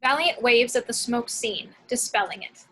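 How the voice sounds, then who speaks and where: A woman's voice: fairly high pitch, moderate pace, bright, forceful tone, poor audio. A woman reading action descriptions from a film script as scripted narration. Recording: clear background noise, home recording.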